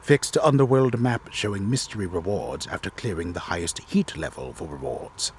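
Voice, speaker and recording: A man voicing a grave, disembodied game storyteller in a solemn, deliberate, theatrical reading. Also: sits low, animated, a little hiss or hum. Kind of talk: dramatic reading of game patch notes.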